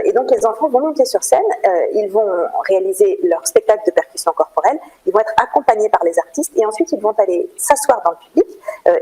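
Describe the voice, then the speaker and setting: A woman with a French accent from France gives earnest, explanatory answers as a radio interview guest, pausing with hesitation as she goes. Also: mid-range voice, darkish tone, husky, poor audio. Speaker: interview guest, cultural programme manager at a theatre.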